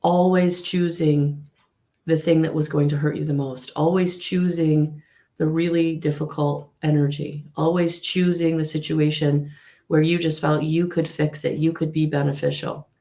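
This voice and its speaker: A woman giving a live tarot reading to viewers, with a husky voice, slightly hoarse after a recent illness, speaking in a soothing, repetitive way with frequent ums. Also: low voice, level tone, normal speed, warm tone. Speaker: tarot reader.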